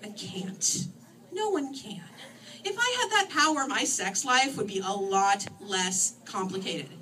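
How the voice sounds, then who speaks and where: Lady reading her novel out loud, bright and really animated, wry, mic kinda far off. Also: medium pitch, a little slow, slight background noise. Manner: expressive, theatrical, wry. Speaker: author reading own novel. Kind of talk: animated first-person story reading to an audience. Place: screening room, live reading. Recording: distant microphone in a room.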